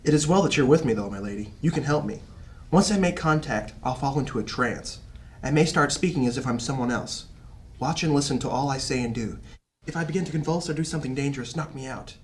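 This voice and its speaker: A man's voice: medium pitch, fast talker, close to an everyday voice. A man playing an earnest young psychic apprentice in a fantasy audio drama. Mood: earnest, insistent. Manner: earnest, courteous, insistent.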